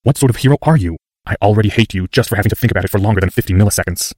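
A man's voice: fairly low pitch, racing, a little mellow, no echo, studio quality, close to an everyday voice. A man voicing an impatient, bold inner voice in an audiobook, blunt and scolding.

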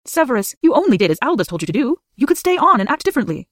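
A man's voice: very high voice, very animated, racing, a bit bright, no echo, studio quality, exaggerated voice. A man voicing a strict older headmistress, clipped and pleading.

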